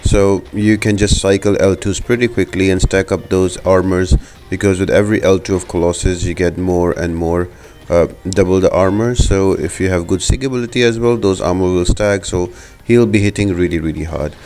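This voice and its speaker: Low-voiced man narrating a game guide. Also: fairly even intonation, normal speed, a little mellow. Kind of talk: casual video game walkthrough commentary.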